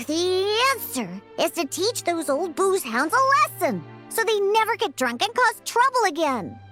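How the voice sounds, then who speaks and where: A woman's voice: very high-pitched, measured pace, few pauses, exaggerated voice. A woman voicing an earnest, easily flustered, chatty young girl in a video game, with music behind her. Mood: flustered, indignant. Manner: excitable, chatty, indignant. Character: earnest, flustered, high-voiced girl who mixes drinks. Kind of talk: animated video game voice acting.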